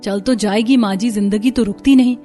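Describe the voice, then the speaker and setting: A woman's voice: medium pitch, fairly even intonation, fairly quick, warm tone, soft background music, lightly acted. A woman voicing a dutiful, earnest young daughter-in-law in a cartoon story, polite and deferential. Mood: questioning. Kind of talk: Hindi cartoon story voice acting.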